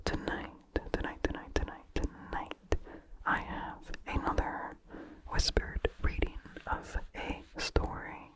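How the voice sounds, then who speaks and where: An ASMR host reading in a breathy, unvoiced whisper, slowly and calmly, with careful enunciation. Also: poor audio. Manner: slow, calm, careful enunciation. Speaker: ASMR host and story reader.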